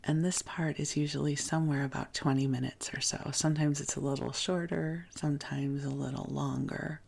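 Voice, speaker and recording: A sleep podcast host talking softly and gently in a breathy, husky, half-whispered voice, soothing and unhurried, as if keeping a listener company at bedtime. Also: mid-range voice. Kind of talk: soft, intimate sleep podcast talk.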